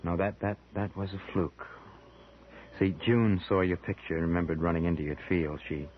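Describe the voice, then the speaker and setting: Casting: man playing evasive, lovestruck detective, low smooth talker, vintage radio sound, muffled and noisy. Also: flat delivery, normal speed, natural voice. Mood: confessional. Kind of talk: old-time radio drama acting.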